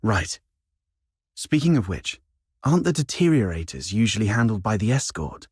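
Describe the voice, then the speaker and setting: An actor playing a worldly, streetwise schemer in a video game cutscene, with a wry, conversational way of talking. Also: male voice, fairly low pitch, big pitch swings, moderate pace, breathy edge, no echo, clean studio sound, heavily acted. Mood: musing, serious.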